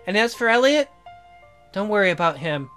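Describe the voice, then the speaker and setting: Romance game heroine, played by a man: high voice, tender and earnest, pleading, music underneath. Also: sing-song, normal speed, fairly bright tone. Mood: apologetic, earnest. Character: tender, guilt-ridden young woman in romance.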